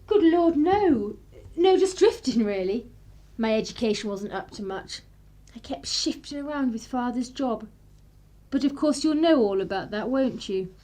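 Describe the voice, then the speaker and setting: An actress plays a spirited young upper-class Englishwoman of the 1930s in a radio drama. Her voice sits high and moves about in lively swings. She is arch and sardonic, with clipped period phrasing. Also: unhurried. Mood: breezy, teasing. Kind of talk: period radio play acting, narration and dialogue.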